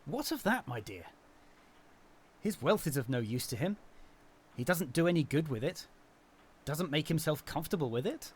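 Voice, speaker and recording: A man's voice: on the high side, sing-song, moderate pace, long pauses, breathy edge. An actor playing a cheerful, good-natured young man who laughs easily. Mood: kindly. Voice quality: warm, laughing, jovial.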